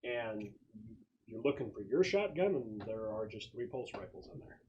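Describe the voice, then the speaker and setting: A man's voice: fairly low pitch, lively intonation, normal speed, a little mellow, highs cut off. A man casually refereeing a tabletop roleplaying game as game master, explaining rules and outcomes. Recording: clearly noisy room recording.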